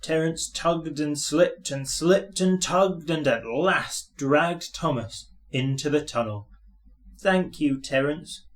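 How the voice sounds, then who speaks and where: A man's voice: on the high side, slow pace, few pauses, faint music behind. A man reading a children's story aloud as scripted narration.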